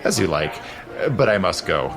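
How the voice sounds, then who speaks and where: An actor playing a suave, teasing 19th-century gentleman in an audio drama, urbane and theatrical in manner. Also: deep voice, sing-song, normal speed. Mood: confiding, playful. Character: suave, teasing immortal gentleman protector, secretly seventy-two. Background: faint music.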